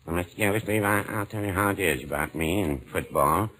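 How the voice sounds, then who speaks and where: A man's voice: sits low, fairly quick, pushed and bright. An actor playing a mild, evasive older man who rambles hesitantly, in 1940s radio comedy style. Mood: puzzled, rambling. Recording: slightly noisy old 1940s radio recording.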